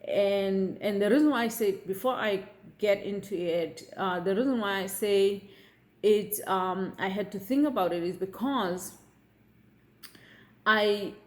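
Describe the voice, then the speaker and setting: A middle-aged woman shares her opinions in a casual vlog. Her delivery is unhurried and deliberate, and she keeps pausing to check that her viewers are following her. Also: medium pitch. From South Africa. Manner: measured, repeats "okay?" to check in with viewers. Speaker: vlogger.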